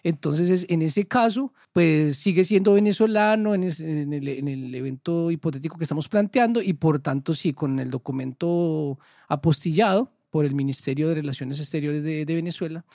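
A legal expert, a man, talks through procedures with plenty of restarts and checks for agreement. His voice is gravelly and a touch high. The sound is dry and close, with no room around it. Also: dark tone, no echo. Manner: halting, many restarts, tag questions. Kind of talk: explanatory radio interview.

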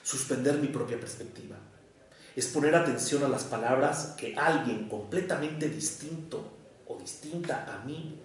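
A man with a Mexican accent giving a prepared lecture in a deliberate, didactic way, with emphatic pauses. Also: mid-range voice. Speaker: lecturer on psychoanalysis. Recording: echoey room, distant microphone.

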